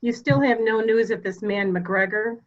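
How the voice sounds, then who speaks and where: A composed widow played by a woman with a slightly high voice, heard in dull, lo-fi audio. She is polite, formal and controlled, in a murder mystery reading. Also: normal speed, lightly acted. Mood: guarded, formal.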